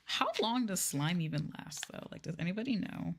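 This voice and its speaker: A soft-spoken woman streaming ASMR, casually chatting to viewers as the host and describing what the hands are doing, close to the microphone. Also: lowish voice, animated, fairly quick. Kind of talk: casual ASMR soft talking to live chat. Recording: close mic with clear handling noise. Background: crinkling, clicking and handling noises.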